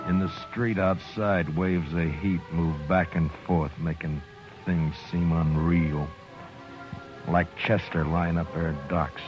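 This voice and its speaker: An actor playing a terse, deadpan, stubborn American lawman in an old-time radio western. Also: deep voice, slow. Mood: somber. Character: grim, stubborn, laconic Old West lawman. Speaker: lead actor and narrator. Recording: dull vintage radio recording, music behind.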